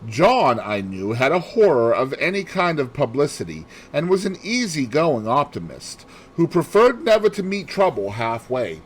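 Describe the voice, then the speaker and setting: A man's voice: mid-range voice, very animated, normal speed, hardly any pauses, slightly rough, low-quality recording. A man reading a novel aloud in a theatrical storytelling style, acting out the dialogue. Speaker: amateur audiobook reader. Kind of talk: dramatic novel read-aloud.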